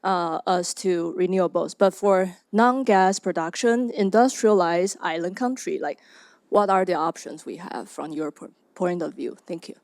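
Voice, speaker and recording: A young woman from Taiwan, a graduate student, asks a panel a question hesitantly, pausing to search for words. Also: on the low side, a little mellow, no echo, studio quality.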